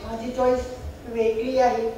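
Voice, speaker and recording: A woman acting a frank young girlfriend speaking deliberately and confidingly in a stage play. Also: a little high, level tone, mellow, highs cut off, close to an everyday voice. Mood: confessing. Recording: echoey stage hall from far, faint noise.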